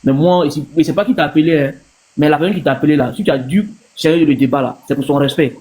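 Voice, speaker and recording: A man's voice: a little high, fast, a little mellow, poor audio. A man from Ivory Coast with an Ivorian accent, giving his opinion casually as a panel guest on a live stream, repeating himself for emphasis.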